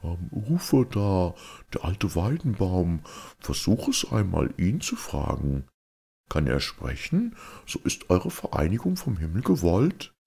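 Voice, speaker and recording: A man's voice: deep voice, very animated, measured pace, vocal fry, dark tone, breathy edge, pitched above the performer's own voice, full character voice. A man voicing a wise magical talking cow in a fairy tale, solemnly instructing and persuading. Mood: persuasive.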